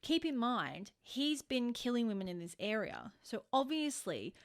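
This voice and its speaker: A woman's voice: on the high side, big pitch swings, measured pace. A woman co-hosting a podcast, telling true-crime stories in an informal, conversational way with emphatic stresses. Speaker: podcast co-host.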